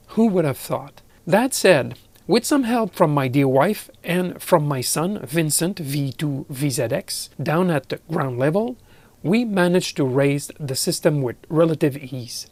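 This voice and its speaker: A man's voice: on the high side, animated, unhurried, darkish tone, no room sound. A middle-aged man from Canada narrating a hobby project vlog as an amateur radio operator.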